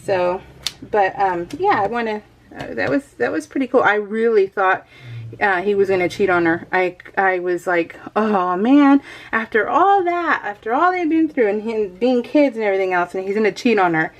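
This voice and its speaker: A woman chatting casually to her viewers as a craft vlogger, in an excitable, rambling, giggly way. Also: medium pitch, moderate pace. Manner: addresses viewers familiarly. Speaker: craft vlogger hosting a scrapbooking video.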